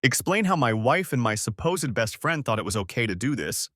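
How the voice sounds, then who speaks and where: Man acting a bitter betrayed husband, animated pitch. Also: a little fast, dry recording, clean studio sound. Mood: icy. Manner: cutting, accusatory.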